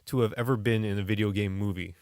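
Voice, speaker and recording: A man's voice: lowish voice, fairly even intonation, quick pace, a little mellow, dry recording, studio quality. Hesitant man in casual podcast chat. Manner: hesitant, searching for words. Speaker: podcast co-host.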